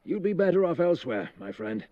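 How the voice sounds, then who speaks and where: Slightly muffled, weary knight on guard. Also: male voice, fairly high pitch, very animated, brisk. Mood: grave warning. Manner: formal, cautioning, resigned. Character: weary, stern armored knight guarding a refugee village. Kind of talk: fantasy video game voice acting.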